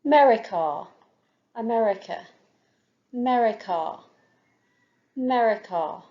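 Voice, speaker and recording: A woman's voice: a little high, animated, takes it slowly. A woman teaching an Irish language lesson, saying each word several times for learners to repeat. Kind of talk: language lesson with repetition drills. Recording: dull lo-fi recording.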